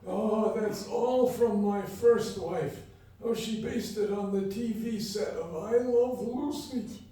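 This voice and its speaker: Male actor as cranky ancient comedian, high-pitched, slow drawn-out grumbling, hammy, radio comedy, very echoey. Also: warm tone. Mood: grumbling, evasive. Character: cranky, eccentric very old man, washed-up comedian. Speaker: performer in live comedy radio play. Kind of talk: live comic radio play acting. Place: live fundraiser event room before an audience. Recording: echoey live hall, distant mic.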